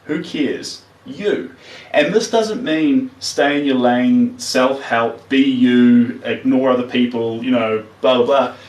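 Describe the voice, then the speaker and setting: A man's voice: mid-range voice, slow pace, darkish tone. A man, chatting casually and earnestly while painting on a livestream, giving plain-spoken motivational advice. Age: 30s. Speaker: painter streaming live. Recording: roomy, distant mic.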